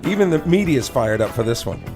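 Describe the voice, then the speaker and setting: Casual male sports talker, mid-pitched. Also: big pitch swings, fast, over music.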